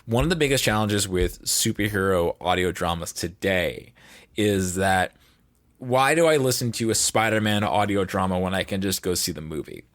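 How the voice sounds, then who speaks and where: A man speaking as an audio drama producer in a casual podcast discussion, often restarting his phrases in a halting way. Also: low voice, lively intonation, dry recording.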